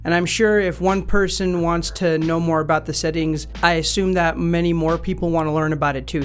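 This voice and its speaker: Relaxed man walking through gear settings. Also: fairly high pitch. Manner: relaxed, explains step by step with fillers. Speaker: music gear channel host. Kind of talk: casual gear tutorial.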